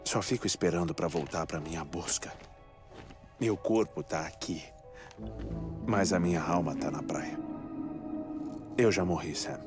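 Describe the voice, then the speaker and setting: Deep-voiced, musing Brazilian man, over music. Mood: wistful, confiding. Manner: talkative, halting, musing asides. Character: eccentric, melancholic man living in twenty-one-minute death cycles, chatty. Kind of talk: dubbed video game cutscene acting.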